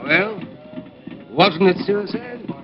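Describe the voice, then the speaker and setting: A man's voice: high-pitched, expressive, very slow, over music, heavily acted. A man playing an evasive, insinuating fight-gym business partner who hedges as he talks, in 1940s radio style. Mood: wary. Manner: hedging, insinuating. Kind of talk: 1940s radio drama acting. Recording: dull old radio recording.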